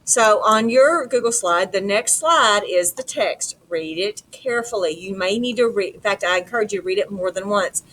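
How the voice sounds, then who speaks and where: A woman's voice: medium pitch, expressive, normal speed, hardly any pauses, a bit bright. A middle-aged woman teaching a lesson in an informal, explanatory, conversational way. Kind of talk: conversational lesson walk-through. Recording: clear background noise.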